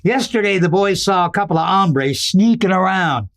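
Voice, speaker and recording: A man's voice: high-pitched, lively intonation, moderate pace, fairly bright tone. A man playing a worried, hot-tempered rancher with a rustic cowboy drawl in a Western radio drama.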